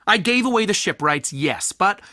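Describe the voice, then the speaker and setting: A man playing a fussy, excitable, scholarly culture center organizer in a video game, with polite but theatrical, easily flustered delivery. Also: high voice, moderate pace, no room sound, clean studio sound. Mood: indignant, wry. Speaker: game character, festival organizer. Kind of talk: video game character dialogue.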